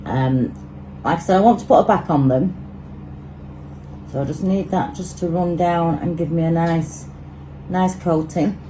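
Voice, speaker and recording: A woman with a Manchester accent and a lowish voice talks her way through a hands-on resin tutorial. She chats easily and thinks aloud as she works. Steady background noise and passing traffic sit under her voice. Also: measured pace. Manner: chatty, thinks aloud while working. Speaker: resin crafter demonstrating.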